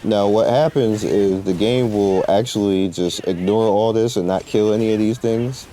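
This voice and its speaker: A man's voice: on the low side, fairly even intonation, normal speed, dark tone, rough recording. A man giving casual, informal gameplay commentary, rambling through his explanations in slangy language as he guides viewers. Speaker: gamer presenting a game guide.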